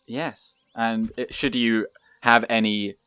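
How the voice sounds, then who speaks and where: A young man giving calm, casual commentary over his own gameplay, soft-spoken and pausing often with fillers. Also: mid-range voice, dull audio. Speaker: gaming channel host.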